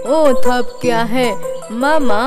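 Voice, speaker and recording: A woman's voice: fairly high pitch, drawn-out words, over loud music. A woman voicing a friendly, plucky little crab in a cartoon, eager and innocent. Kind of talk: cartoon voice acting.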